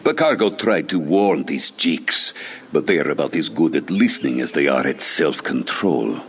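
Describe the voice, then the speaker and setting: An actor playing a disdainful cat-person bard in a fantasy game, speaking haughtily and dryly. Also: male voice, rumbling low, sing-song, normal speed, a bit bright, slight background noise, exaggerated voice. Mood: scornful. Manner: haughty, dry, refers to himself in third person. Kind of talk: scripted fantasy game dialogue.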